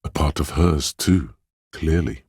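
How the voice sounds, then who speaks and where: Very deep, grave, compassionate inner voice. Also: male voice, unhurried, darkish tone, husky, no room sound, studio quality, heavily acted. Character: male inner voice of empathy, grave, compassionate, perceptive. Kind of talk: dramatic game narration, second person.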